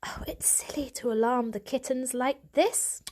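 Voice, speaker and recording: A woman's voice: high-pitched, measured pace, voice pushed up. Woman as a fussy, scolding mother cat. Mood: tutting, reproachful. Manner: tutting, indignant.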